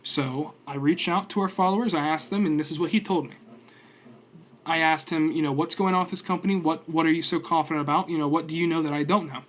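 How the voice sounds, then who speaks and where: A man in his twenties talks through his stock picks in a loose, rambling way. His voice sits a bit high, and he keeps restarting sentences and filling gaps with ums and uhs. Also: fairly even intonation, quick pace, a bit bright. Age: 20s. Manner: rambling, many fillers and restarts. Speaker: stock trading streamer. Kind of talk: casual stock commentary stream.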